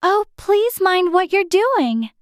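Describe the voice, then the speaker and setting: A woman's voice: very high-pitched, very animated, takes it slowly, dry recording, studio quality, exaggerated voice. A woman voicing an anxious, polite, know-it-all little girl in a dramatized storybook audiobook. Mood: alarmed, pleading.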